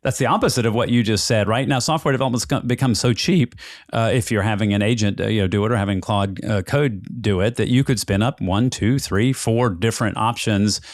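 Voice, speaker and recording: A man's voice: fairly low pitch, a little fast, no echo, studio quality. A man hosting a casual interview, rambling and thinking aloud as he repeats words. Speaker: podcast host, interviewer.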